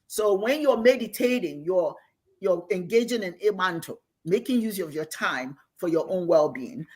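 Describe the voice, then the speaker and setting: A woman's voice: on the low side, lively intonation, normal speed, breathy edge. Earnest older woman teaching. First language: Igbo. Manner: earnest, repeats key phrases for emphasis. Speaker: host and spiritual teacher. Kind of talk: livestream teaching on meditation.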